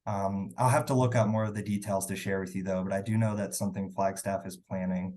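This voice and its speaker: A man with a slightly hoarse voice from a sore throat, casually hosting a webinar and taking audience questions. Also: low voice, flat delivery, a little fast, a little mellow. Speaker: webinar host and moderator.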